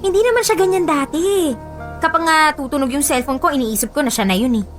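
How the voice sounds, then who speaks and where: A woman's voice: high voice, a little fast, lightly acted. A woman acting a sulky young woman fed up with her boyfriend in a Filipino radio drama, complaining in a huffy, emphatic way. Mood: hurt, defensive. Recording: music bed behind voice.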